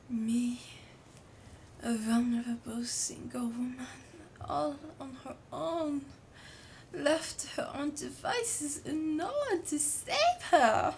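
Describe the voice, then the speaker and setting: Slow, breathy French roommate, acted by a woman. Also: fairly high pitch. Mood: teasing, mock dramatic. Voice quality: breathy, often whispering. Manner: languid, teasing, dramatic. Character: playful, dreamy, relaxed French female roommate, teasingly dramatic. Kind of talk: intimate ASMR roleplay, rambling. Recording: outdoor summer ambience noise behind voice. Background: birdsong, crickets, wind chimes.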